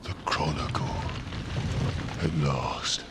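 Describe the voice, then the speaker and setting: Deep, slow, gravelly old Maker. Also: male voice, heavy background noise, heavily acted. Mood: reverent, warm. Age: older. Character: reverent old male Maker, slow and kindly. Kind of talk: dramatic video game acting.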